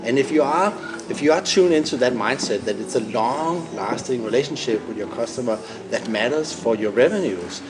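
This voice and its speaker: A man's voice: medium pitch, expressive, normal speed, hardly any pauses, music in the background. A man with a Danish accent answering interview questions, explaining his points steadily and often restarting phrases. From Denmark. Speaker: company founder and CEO, guest. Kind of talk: business podcast interview. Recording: noisy room.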